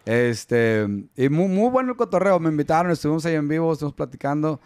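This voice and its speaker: Male podcast host, loose and joking, slightly high voice, dry studio sound. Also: lively intonation, fast talker, a little mellow, no echo. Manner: joking, rambling with fillers and restarts. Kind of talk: casual chat. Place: collectibles room with toy shelves, indoors. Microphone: studio mic on boom arm.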